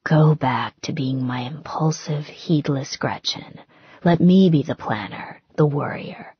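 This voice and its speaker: A woman voicing a tender, protective, intense wealthy groom in a romance audiobook, in a hushed, intimate murmur. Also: deep voice, a little slow, husky, no room sound, dull audio, full character voice. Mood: low, devoted.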